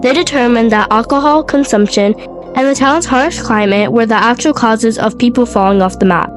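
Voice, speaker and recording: A woman's voice: a little high, moderate pace, music in the background. A young woman, congested from a cold, co-hosting a podcast and telling a story in a partly scripted, partly chatty way. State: sick with a cold. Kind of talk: podcast storytelling, part scripted, part casual chat.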